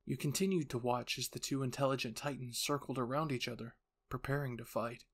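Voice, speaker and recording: A man's voice: medium pitch, steady tone, fairly quick. A man reading a scripted story as narrator, in a dramatic storytelling style. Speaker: narrator and voice actor.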